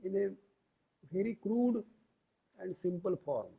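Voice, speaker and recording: A man giving an explanatory lecture, deliberate and pausing between short phrases. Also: high-pitched, expressive, vocal fry, slightly rough. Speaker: yoga lecturer. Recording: tinny, phone-like band-limited audio.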